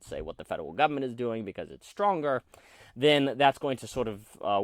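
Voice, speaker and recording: A man with a fairly low voice talks through abstract ideas on his own show, pausing on fillers and restarting his sentences as he thinks. His delivery is unscripted and meandering. The recording is dry and close, with no room sound. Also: dry recording. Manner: thoughtful, rambling with many fillers and restarts. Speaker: podcast host discussing philosophy. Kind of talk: unscripted solo commentary.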